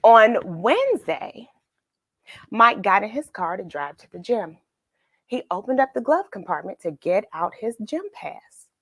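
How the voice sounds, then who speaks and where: Animated, unhurried woman reading a children's story. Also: medium pitch, slightly rough, no echo. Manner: expressive, emphatic, dramatic pauses. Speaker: children's read-aloud host. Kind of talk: storybook narration with questions to young listeners.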